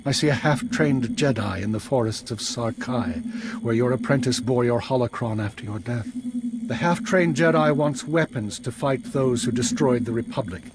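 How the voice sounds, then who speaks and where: An actor playing a wise, solemn Jedi master and mentor in a video game, speaking in a measured, earnest way. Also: male voice, mid-range voice, lightly acted. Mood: solemn, prophetic. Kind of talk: scripted video game dialogue. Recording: loud background ambience noise.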